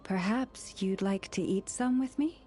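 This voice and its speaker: A woman voicing a gentle, devout young woman in a video game, speaking in a polite, formal and composed manner. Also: medium pitch, a little slow, slight background noise, natural voice. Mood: gently playful. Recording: music behind the voice.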